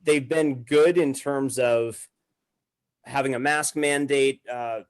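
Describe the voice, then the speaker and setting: Casual male podcast guest, twenties, American accent, mid-pitched voice, loose and slangy conversational storytelling. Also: steady tone, takes it slowly, clear, resonant tone. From Massachusetts, United States. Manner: informal, slangy.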